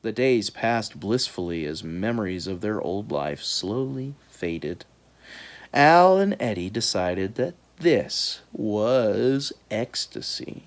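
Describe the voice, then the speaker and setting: A woman reading a children's book aloud in an animated, dramatic storytelling style. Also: a bass voice, slow. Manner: dramatic, expressive delivery.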